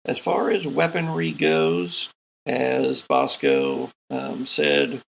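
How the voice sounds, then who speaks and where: Slow, very gravelly male game master. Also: medium pitch, wildly swooping pitch. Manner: deliberate, explanatory. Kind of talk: narrating a tabletop roleplaying game, conversational.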